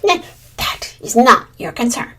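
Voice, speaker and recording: A man's voice: high-pitched, normal speed, husky. A woman voicing a bold male blue jay who speaks firmly and coolly. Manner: firm, cool, squawking.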